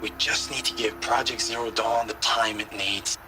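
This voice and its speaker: An actor playing a weary, devoted soldier speaking a tender, halting message to a loved one back home. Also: male voice, on the low side, fairly even intonation, natural voice. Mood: weary pride. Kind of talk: dramatic voice-message monologue. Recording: music behind the voice.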